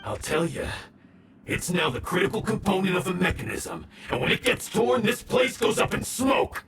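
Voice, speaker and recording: An actor playing a scheming, gloating, hot-tempered male villain in a video game, gravelly, theatrical and taunting. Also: sits high, expressive, moderate pace, fairly bright tone. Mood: gloating, menacing. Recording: roomy, distant, noisy game ambience.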